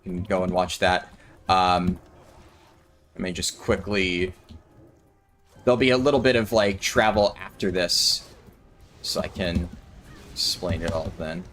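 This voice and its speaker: A man giving relaxed, rambling livestream commentary while playing a game and answering chat, sounding a little tired. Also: deep voice, unhurried, pauses often, a little hiss or hum. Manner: chatty, thinks aloud, answers chat. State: maybe tired or sore throat. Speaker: streamer.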